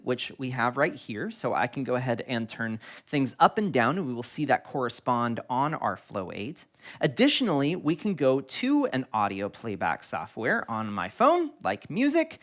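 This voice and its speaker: A man's voice: mid-range voice, fairly bright tone. A man hosting an instructional product tutorial, explaining things step by step. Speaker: tutorial video host.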